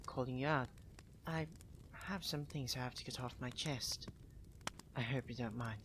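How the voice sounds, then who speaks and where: Slow-spoken, proud young prince acted by a man. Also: medium pitch, noisy background. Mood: anxious, nostalgic. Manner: posh, halting, teasing, defensive. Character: proud, tsundere young prince, flustered and secretly tender. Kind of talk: ASMR roleplay acting.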